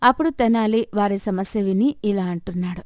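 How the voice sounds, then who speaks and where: A woman's voice: mid-range voice, a little fast, darkish tone, no echo, clean studio sound. A woman storyteller reading a scripted moral tale as narration.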